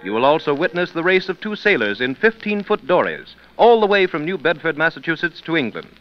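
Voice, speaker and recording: A man's voice: a little high, sing-song, brisk. A man announcing in emphatic, polished 1930s radio sales style. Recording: muffled 1930s radio recording.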